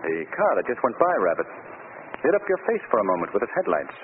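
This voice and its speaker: An actor playing a suave, fearless wealthy gentleman crime-fighter, urbane and self-assured, in a 1940s radio drama. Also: lowish voice, wildly swooping pitch, fast talker. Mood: cool, daring. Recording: scratchy, tinny 1940s radio recording.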